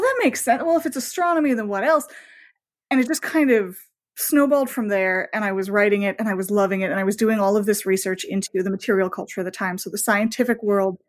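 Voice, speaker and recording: Woman guest, mid pitch, chatty and really enthusiastic, rambles along with little asides. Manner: effusive, enthusiastic rambling, voices own thoughts as asides. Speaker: guest, romance novelist. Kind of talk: casual interview chat.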